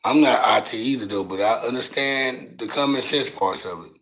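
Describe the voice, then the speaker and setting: A raspy-voiced man, hosting a casual livestream and talking loose and profane into a phone mic from his couch at home. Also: mid-range voice, normal speed, a bit bright, poor audio. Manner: loose, profane, drawling street talk. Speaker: livestream host.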